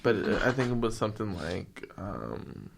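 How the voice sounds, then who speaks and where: A young man casually telling brash childhood stories on a podcast, loud and animated, swearing freely. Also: sits low, normal speed, gravelly, breathy edge. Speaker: podcast guest. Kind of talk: casual storytelling.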